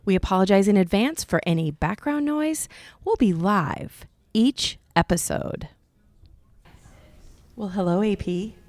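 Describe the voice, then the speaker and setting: A woman co-hosting a cycling podcast in casual chat, her voice a little hoarse, laughing often. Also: mid-range voice, lively intonation, a little slow, a little mellow. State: slightly hoarse. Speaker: podcast co-host.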